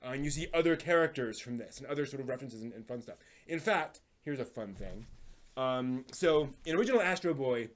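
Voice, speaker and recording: A man's voice: mid-range voice, expressive, a little fast. A man hosting a casual live stream about anime, thinking aloud and rambling off on tangents as he chats with viewers.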